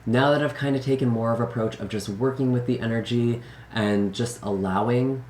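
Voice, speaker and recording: A man hosting a tarot channel, speaking in a gentle, earnest way with frequent fillers, his voice slightly raspy after being sick. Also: mid-range voice, steady tone, fairly quick, reverberant, a little hiss or hum. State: recovering from illness. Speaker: tarot reader. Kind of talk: casual channel intro.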